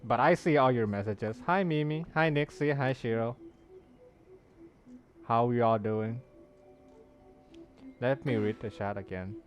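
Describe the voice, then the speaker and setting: A man's voice: mid-range voice, measured pace, pauses often. A man livestreaming a game, chatting casually and greeting viewers in a friendly way. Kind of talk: casual chat with stream viewers, reading chat aloud. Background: soft background music.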